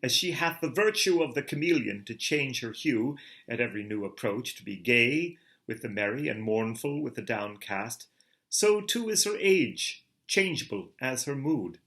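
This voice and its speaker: A man, a theater director, reading a classic novel aloud with an expressive, theatrical delivery. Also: mid-range voice, normal speed. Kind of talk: dramatic literary reading.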